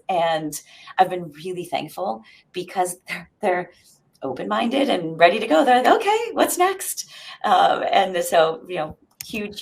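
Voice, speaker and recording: Low-pitched woman explaining things conversationally. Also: vocal fry. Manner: explanatory, often checks with "right?". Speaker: sales executive guest. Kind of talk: conversational interview answers.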